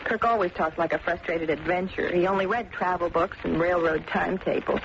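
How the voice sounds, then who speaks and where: A woman's voice: on the low side, fairly even intonation, fairly quick, creaky. An actress playing a guarded, dry-witted young small-town woman in old-time radio drama style. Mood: musing. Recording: dull, noisy vintage radio recording.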